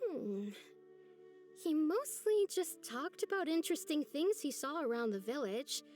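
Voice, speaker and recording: A gentle young village woman from a video game, speaks in a high, soft voice. She is earnest and wistful, choosing words with some hesitation. Faint music plays behind her. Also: very animated, a little slow. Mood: pensive.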